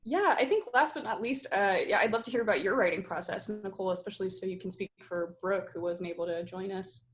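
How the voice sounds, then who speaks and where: A woman's voice: medium pitch, quick pace. A woman who directs theatre, leading a casual group discussion.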